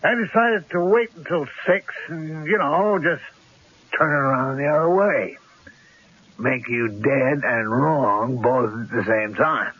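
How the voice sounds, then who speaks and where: Menacing radio gangster, rough husky voice, tough street slang, dull old broadcast sound. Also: male voice, mid-range voice, a little slow. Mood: boastful. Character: cocky, menacing gangster killer holding a couple at gunpoint. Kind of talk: 1970s radio drama acting.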